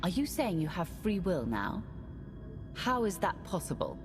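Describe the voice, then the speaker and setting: An actress playing a resolute military commander with a conscience in a video game, speaking firmly and with command. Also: female voice, fairly low pitch, sing-song, normal speed. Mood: incredulous. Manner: firm, commanding. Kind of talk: video game cutscene acting. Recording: game music under the voice.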